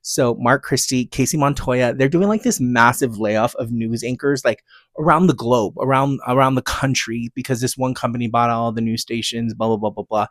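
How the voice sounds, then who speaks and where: A man's voice: medium pitch, moderate pace, fairly bright tone, no echo, studio quality. An American man hosting a podcast, talking casually and emphatically in a gushing, rambling way. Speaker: podcast host. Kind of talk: casual chatty solo podcast talk, music recommendations and rants.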